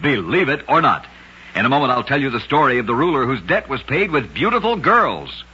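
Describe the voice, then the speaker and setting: A man hosting and narrating short scripted oddity stories on an old-time radio show, telling them briskly and emphatically with punchy endings. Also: medium pitch, very animated, pushed and bright, breathy edge. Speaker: radio host and storyteller. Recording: lo-fi vintage radio recording.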